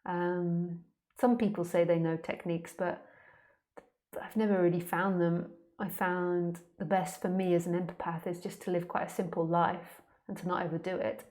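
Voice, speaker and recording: A woman's voice: on the low side, level tone, normal speed, darkish tone. A woman speaking as a spiritual teacher in an informal question-and-answer talk, candid, plain-spoken and conversational.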